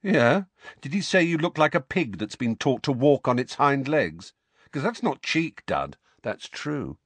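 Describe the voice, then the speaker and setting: Sullen, sarcastic teen boy, played by a male narrator, taunting, very animated pitch, storybook read, close dry sound. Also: unhurried, no room sound, heavily acted. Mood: mocking. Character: sullen, mocking teenage boy goading his cousin.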